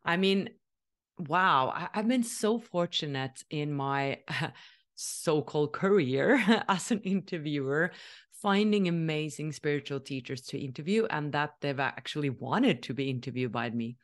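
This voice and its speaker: Chatty woman from Norway, low voice. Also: lively intonation, measured pace. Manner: enthusiastic, chatty, many "like"s, laughs. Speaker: podcast host and interviewer. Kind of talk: casual podcast intro monologue.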